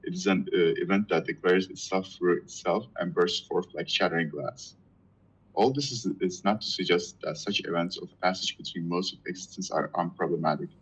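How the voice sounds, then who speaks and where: A man whose first language is Turkish, reading a dense philosophy text aloud to a reading group, halting and stumbling over hard words. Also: sits low, a bit bright, breathy edge, lo-fi sound.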